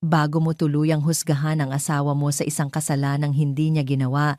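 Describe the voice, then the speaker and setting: A woman's voice: low voice, little pitch movement, fairly quick, dark tone, no room sound, studio quality, natural voice. A woman voicing a cold, composed woman who speaks firmly and without emotion in an audio drama. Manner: emphatic, emotionless. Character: cold, composed woman delivering grave truth.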